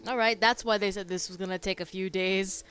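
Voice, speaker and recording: A woman's voice: medium pitch, steady tone, brisk, a bit bright. A woman streamer giving casual gameplay reactions, with game music behind her.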